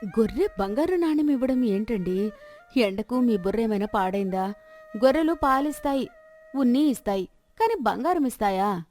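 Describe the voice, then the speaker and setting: A woman's voice: fairly high pitch, lively intonation, normal speed, darkish tone, faint music behind, lightly acted. A woman playing an exclaiming, questioning village farmer's wife in moral-story dialogue. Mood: doubtful, scolding. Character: village farmer's wife, doubting then hopeful.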